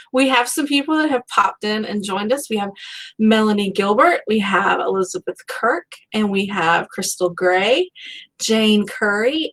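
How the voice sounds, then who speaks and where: A woman's voice: medium pitch, fairly bright tone, poor audio. Chatty woman hosting a book show. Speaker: host of a book-fan live show. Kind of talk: casual chatty author interview.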